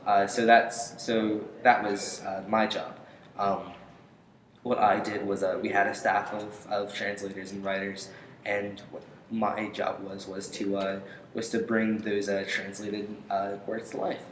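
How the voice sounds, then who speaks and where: A man's voice: on the low side, level tone. Hesitant young man in an echoey room. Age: young adult. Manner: hesitant, halting, pausing often. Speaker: interview guest, aspiring voice actor. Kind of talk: casual interview answers. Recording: echoey room with faint noise.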